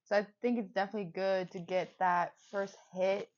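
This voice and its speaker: A woman's voice: mid-range voice, fairly even intonation, normal speed, a little mellow, lo-fi sound. A woman in her twenties from Sweden, co-hosting a podcast in an easygoing, agreeable way. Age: 20s. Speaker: podcast co-host and football player. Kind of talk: casual podcast chat.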